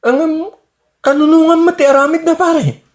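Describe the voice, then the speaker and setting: A man's voice: very high-pitched, fairly even intonation, moderate pace. A man playing a frank buddy who chides his friend in an Ilocano radio comedy drama, matter-of-fact, with an Ilocano accent. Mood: exasperated.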